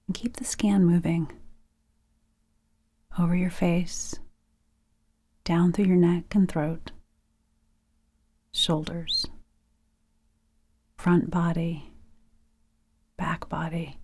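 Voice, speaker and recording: A woman leading a guided sleep meditation softly and gently, nearly whispering, in short phrases with pauses. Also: on the low side, little pitch movement. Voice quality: breathy, soft, near-whispered.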